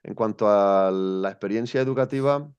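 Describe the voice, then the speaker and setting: A man's voice: fairly low pitch, moderate pace, darkish tone, smooth, lo-fi sound. A young man from Spain, a guest telling his story in an interview, thoughtful and hesitant with many restarts. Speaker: guest, education coach.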